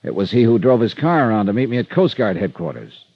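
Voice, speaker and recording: An American actor playing a dogged, wry, hard-boiled insurance investigator who also narrates, in vintage radio drama style. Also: male voice, lowish voice, sing-song, fast talker, no room sound. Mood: wry, pondering. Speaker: detective and first-person narrator. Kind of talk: 1940s-50s radio drama acting. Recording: dull vintage radio recording.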